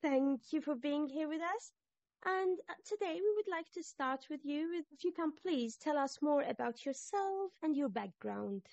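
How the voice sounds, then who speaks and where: A woman's voice: high voice, animated, unhurried, a little mellow, dry recording, muffled recording. A woman hosting a podcast interview who talks in a polite, formal way.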